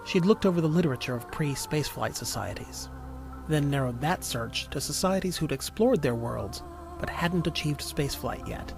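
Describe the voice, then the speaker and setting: A man's voice: fairly high pitch, expressive, moderate pace, gravelly. A man reading scripted narration for an audio drama in a storytelling style. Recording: music under the voice. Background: music.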